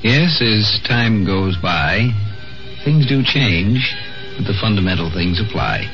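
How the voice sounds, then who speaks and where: Muffled old radio, male host, fairly low voice, scripted commentary, faint music. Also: big pitch swings, slow, a bit bright. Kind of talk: 1950s radio host's closing commentary.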